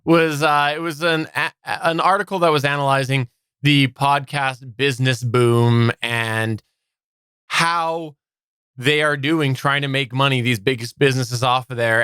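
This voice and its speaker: A man's voice: medium pitch, sharp tone, clear, resonant tone, dry recording. A man co-hosting a podcast, chatting casually with a wry, dry humor. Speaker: podcast co-host. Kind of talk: casual chat.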